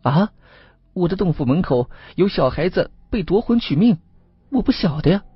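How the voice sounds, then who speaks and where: A man's voice: on the high side, sing-song, brisk, slightly rough, no echo, dull audio, pitched above the performer's own voice, exaggerated voice. A man voicing a frightened male fox spirit in Taoist form, pleading and panicky in an audiobook. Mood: anxious denial. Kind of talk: audiobook character acting.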